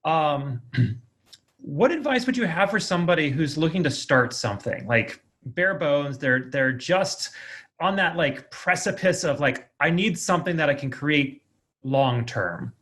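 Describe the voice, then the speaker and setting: A man's voice: on the high side, animated, a little slow, a bit bright. A man hosting a podcast, asking questions in a casual, conversational interview style.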